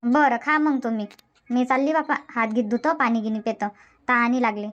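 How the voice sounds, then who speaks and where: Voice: medium pitch, fairly even intonation, moderate pace, a bit bright, highs cut off, close to an everyday voice. A voice actor voicing a fussy older village woman with a stomach ache, speaking in a dubbed cartoon comedy, grumbling and self-pitying.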